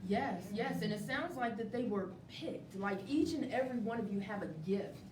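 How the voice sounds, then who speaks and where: A woman's voice: fairly high pitch, moderate pace. A woman hosting a live group interview, encouraging her guests with frequent short affirmations. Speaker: host interviewing a stage play cast. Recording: roomy, distant mic, noisy background.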